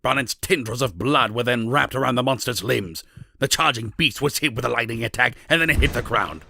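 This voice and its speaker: A man's voice: medium pitch, a little fast, creaky, bright, forceful tone. A man narrating a scripted fantasy story as the narrator of an audio drama.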